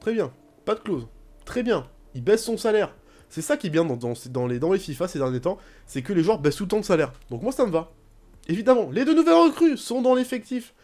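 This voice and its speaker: Young male gaming host, casual running commentary, chatty and excitable, a touch high-pitched. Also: brisk, faint music behind. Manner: chatty, rambling, bursts into excited shouting at goals. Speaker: gaming YouTuber.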